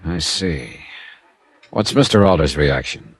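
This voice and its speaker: An actor playing a wisecracking, hard-boiled insurance investigator with an American accent, wry and clipped, in an old-time radio detective drama. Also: male voice, extremely low pitch, lively intonation, slow pace, breathy edge, no echo, exaggerated voice. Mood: probing, wry. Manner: wry, clipped, tough-talking.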